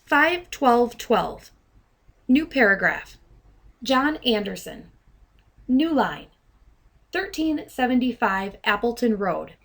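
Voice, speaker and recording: A woman trainer narrating an instructional software demonstration, speaking deliberately and enunciating dictated commands. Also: a little high, very animated, gravelly. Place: voice-over to a screen recording.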